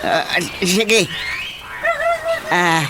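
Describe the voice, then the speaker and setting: A woman's voice: on the low side, drawn-out words, very noisy. A woman playing a startled, disbelieving character in a comic radio drama, exclaiming and stammering, with an Ilocano accent. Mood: flustered. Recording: radio drama under loud music.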